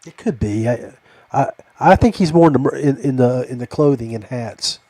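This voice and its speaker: A middle-aged American man hosting a casual podcast chat in a halting, folksy, familiar way. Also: medium pitch, warm tone, dry recording. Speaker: podcast host.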